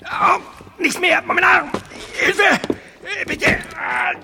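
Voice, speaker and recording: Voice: extremely high pitch, very slow, creaky, bright, forceful tone, big-room sound, soft background music, heavily acted. An actor in a German audio drama playing someone in agony, whining and pleading for help. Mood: pained, pleading.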